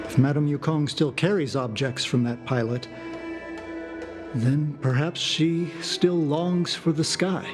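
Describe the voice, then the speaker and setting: A man voicing a polite, dutiful aide in a video game, courteous and formal, with gentle concern. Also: a little high, slow. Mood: thoughtful. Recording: clean game dialogue over background music.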